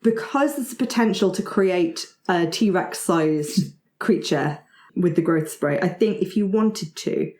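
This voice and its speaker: Female game master, slightly low voice, affirming manner, tabletop narration in a reverberant space. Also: animated, measured pace, darkish tone. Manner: encouraging, affirming players' ideas.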